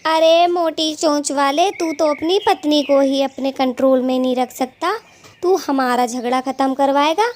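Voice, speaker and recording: Quarrelsome female cartoon bird played by a woman, very high voice, greedy and scolding, slight background noise. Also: moderate pace, a little mellow, natural voice. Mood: angry, threatening. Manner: scolding, taunting, colloquial bickering. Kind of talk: Hindi cartoon story dialogue.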